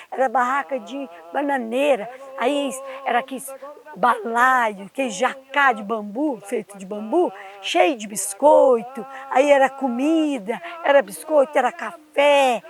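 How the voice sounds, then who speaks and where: A woman in a documentary interview remembering old country dances, laying out the details one by one. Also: high voice. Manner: plain, listing details one by one. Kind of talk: recalling rural dances in an interview.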